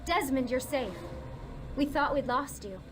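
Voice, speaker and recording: A woman's voice: sits high, unhurried, noisy background. A woman playing a caring, reassuring teammate in a video game cutscene. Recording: game audio with music behind.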